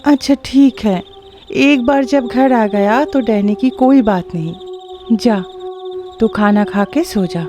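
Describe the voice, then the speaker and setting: Actress as uneasy, caring mother in horror cartoon: high motherly voice over faint music. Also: slow pace. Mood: soothing. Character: concerned middle-aged village mother, soothing yet uneasy. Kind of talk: Hindi cartoon horror story acting.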